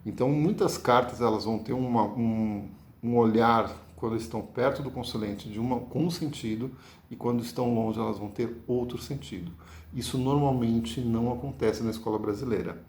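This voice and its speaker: A Brazilian-accented man gives a card-reading lesson with a gravelly edge to his mid-pitched voice. He explains patiently and keeps checking in with the listener. The recording sounds echoey and roomy. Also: normal speed, a little mellow. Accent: Brazilian Portuguese. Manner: patient, ends points with tag questions. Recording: echoey room.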